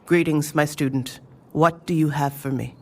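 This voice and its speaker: A woman playing a composed senior mentor in an audio drama, speaking in a formal, measured way. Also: low-pitched, fairly even intonation, darkish tone. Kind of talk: fan audio drama dialogue. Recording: distant mic, clear background noise.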